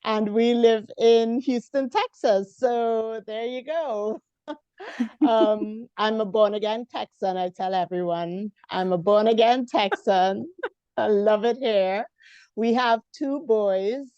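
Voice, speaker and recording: A woman from Trinidad and Tobago with a Trinidadian accent, a Bible teacher chatting as a podcast guest over a video call in an unhurried way. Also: on the high side, warm tone, clear, resonant tone, dry recording, lo-fi sound. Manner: unhurried, laughs easily. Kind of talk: casual interview chat.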